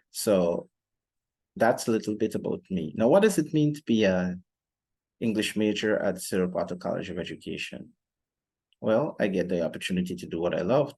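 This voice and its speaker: A young adult man talks alone, explaining things as a student podcast host. His voice sits a little low. He chooses formal phrases and sets them out in a measured, deliberate manner. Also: a little mellow.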